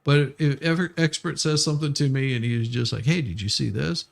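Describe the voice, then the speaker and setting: A man chatting casually as a live streamer, explaining things haltingly and often repeating his words. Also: medium pitch, vocal fry. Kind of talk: casual chat on a livestream.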